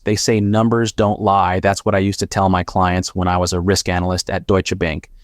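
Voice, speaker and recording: An actor playing a sardonic, haunted former risk analyst in an audio drama, narrating in a measured, wry, confessional way. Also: male voice, low voice, level tone, no echo, lightly acted. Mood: wry, grim. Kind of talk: first-person dramatic audio fiction narration.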